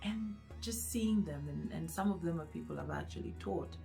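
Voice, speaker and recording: Low-voiced Kenyan woman telling stories. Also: moderate pace, vocal fry, soft background music. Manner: expressive, conversational, addresses listeners directly. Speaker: vlog host, event planner. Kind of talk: casual personal storytelling.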